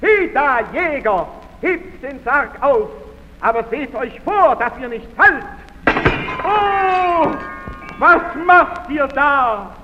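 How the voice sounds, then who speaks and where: An actor playing a gentle young prince in an old radio play, earnest and tender. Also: male voice, very high-pitched, lively intonation, slow pace, muffled recording, exaggerated voice. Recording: scratchy 1930s radio recording with hall.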